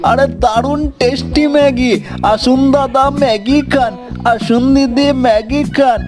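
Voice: high-pitched, steady tone, measured pace. A performer voicing an eager, sincere young son who sells noodles in a cartoon, speaking emphatically and repeating phrases. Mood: cheerful hawking. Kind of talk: cartoon voice acting with singsong hawking. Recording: music under the voice.

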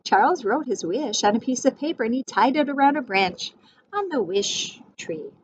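A middle-aged woman teacher reading a storybook aloud to young children, expressive and addressing them directly. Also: a little high, normal speed. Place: small indoor room with whiteboard. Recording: dull sound, faint background noise.

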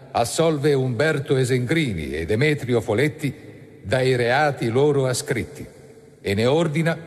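A man's voice: medium pitch, close to an everyday voice. An actor playing a solemn male judge formally reading out a verdict in a radio drama. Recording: long hall reverberation.

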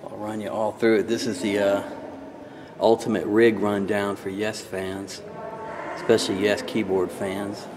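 A man's voice: lowish voice, flat delivery, measured pace. A man giving a casual, awed commentary to himself as he films a handheld video tour inside a church. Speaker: amateur videographer and music fan. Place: inside a church beside the organ. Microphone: handheld camera, operator behind it. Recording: clear background noise, handheld camera audio.

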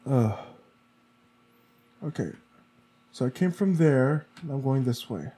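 A man's voice: mid-range voice, expressive, slow, dark tone, breathy edge. A sniffly, congested man giving casual gameplay commentary, muttering to himself as he plays. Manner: muttering to himself while playing. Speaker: let's play gamer.

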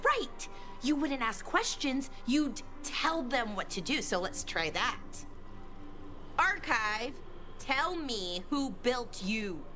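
An actress playing an earnest young elven scholar in a video game, thoughtful and a little hesitant. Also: female voice, on the high side, sing-song, takes it slowly, pushed and bright, soft background music, heavily acted. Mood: eager, curious. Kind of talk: video game character dialogue.

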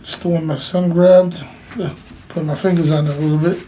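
A middle-aged man with a noticeably high-pitched voice talks through a hands-on demonstration. He is informal and plain-spoken. The home recording has clear background noise, picked up by a camera across the table. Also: steady tone, brisk, warm tone, rough recording. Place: indoors, small room with cloth backdrop.

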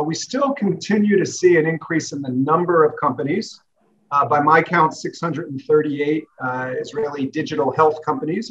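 A man in his forties, a startup founder, answering in a measured way with many filler pauses on a video call. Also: a little high, a bit bright. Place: office room. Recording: dull, lo-fi video call audio.

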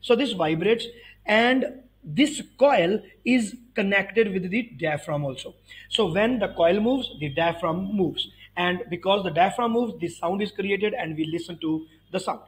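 A man's voice: high voice, unhurried, rough recording. A man in his thirties giving an explanatory physics tutorial, emphatically repeating key phrases. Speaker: physics teacher.

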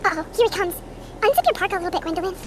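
A woman's voice: very high-pitched, animated, rapid-fire, pitched below the performer's own voice. A woman doing a squealing ditzy doll girl. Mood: giddy. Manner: squealing, giggly, exaggerated. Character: ditzy high-pitched doll girl, giggly and bubbly. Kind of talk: homemade doll comedy video. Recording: loud background noise.

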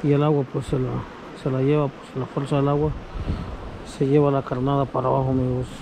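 A man, talking casually to his viewers while fishing outdoors on ice and by a creek. He is chatty and gets excited when the fish bite. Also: medium pitch, a little fast. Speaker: fishing vlogger. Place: outdoors on a frozen reservoir and by a creek. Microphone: action camera worn on the body. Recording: wind and outdoor noise in the background.

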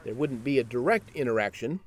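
A man giving a scripted, measured narration for a science news segment. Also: mid-range voice, expressive.

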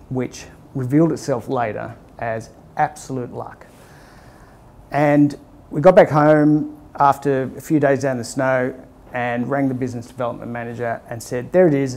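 Australian founder in his forties, warm relaxed tone, chatty storyteller at a small talk. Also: medium pitch, creaky. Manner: relaxed anecdotal storytelling with chuckles. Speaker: startup founder giving a talk. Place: small meeting room with a screen. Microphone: lapel mic.

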